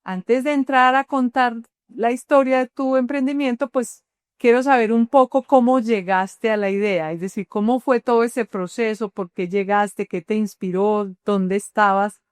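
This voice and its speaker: A woman hosts an interview podcast with a smooth, fairly high voice. She chats easily, fills in with affirmations, and frames her questions with long introductions. The recording is close and dry. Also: steady tone, normal speed, no echo. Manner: chatty, affirming listener, long framing introductions. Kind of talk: warm podcast hosting and interviewing.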